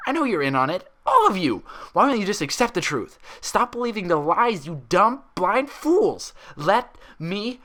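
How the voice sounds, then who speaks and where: A frantic, high, screeching cartoon mare, performed by a man, raging as a defiant asylum patient. The ranting is loud and sharp, swinging wildly in pitch. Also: big pitch swings, normal speed, hardly any pauses. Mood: furious, desperate.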